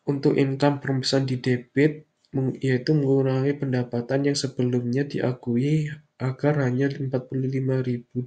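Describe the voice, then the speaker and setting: A young man giving an explanatory slide presentation in a stilted, halting, read-out manner. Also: medium pitch, a little mellow. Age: young adult. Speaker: university student presenter.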